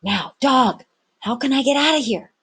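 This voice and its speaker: A woman's voice: high-pitched, animated, normal speed, fairly bright tone, husky, voice pushed up, exaggerated voice. A woman voicing a plucky young ship's boy in a storybook, frightened but resourceful, with earnest, urgent seafaring talk.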